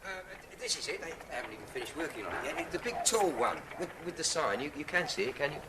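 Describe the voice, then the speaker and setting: A plain-spoken police inspector in a radio play, with a lively, swooping delivery. He is obliging and informal, but sceptical. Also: fairly high pitch, fast, noisy background. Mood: confiding. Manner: matter-of-fact, informal, deferential. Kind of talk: radio drama acting.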